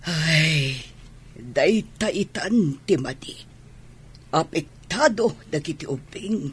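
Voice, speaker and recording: Babaeng gumaganap na paos at matandang biyenan. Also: female voice, low-pitched, expressive, takes it slowly, breathy edge, full character voice. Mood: worried. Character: pleading elderly mother-in-law, a doting grandmother. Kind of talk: Ilocano audio drama acting.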